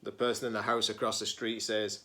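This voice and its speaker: A man reading a picture book aloud to children as a teacher-librarian, with playful dramatic emphasis, pauses and chatty asides. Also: lowish voice, little pitch movement, sharp tone.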